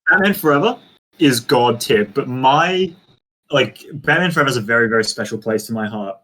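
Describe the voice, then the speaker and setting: A man's voice: medium pitch, animated, moderate pace, pushed and bright, poor audio. Enthusiastic man in casual podcast chat. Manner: enthusiastic, emphatic. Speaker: podcast co-host. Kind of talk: casual group chat about films.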